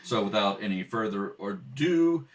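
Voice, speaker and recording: A man's voice: on the low side, animated, unhurried. A man informally introducing a theatre rehearsal, recorded at a kitchen table on one shared microphone. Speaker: community theatre rehearsal leader. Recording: distant shared home microphone.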